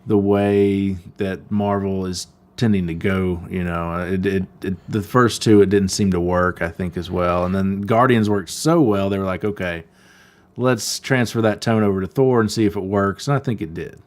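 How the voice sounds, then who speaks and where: A man's voice: sits low, steady tone, moderate pace. A man co-hosting a podcast, chatting in an easygoing way and laughing along. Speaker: podcast co-host. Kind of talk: casual podcast chat.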